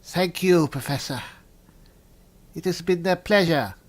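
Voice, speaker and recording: A man's voice: on the high side, measured pace. Man roleplaying through a fantasy game. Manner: polite, musing aloud, reads spell descriptions out. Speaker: gaming video host and player. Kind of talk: in-character roleplay mixed with game commentary.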